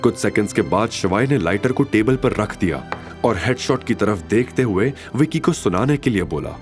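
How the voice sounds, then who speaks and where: A man's voice: lowish voice, expressive, normal speed, soft background music. A man narrating a scripted audio drama in a dramatic storytelling style.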